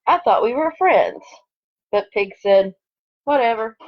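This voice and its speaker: A woman's voice: on the high side, expressive, a little slow. A woman, a second grade teacher, reading aloud to children online with chatty asides, in a lo-fi home recording. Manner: chatty asides between expressively read story lines.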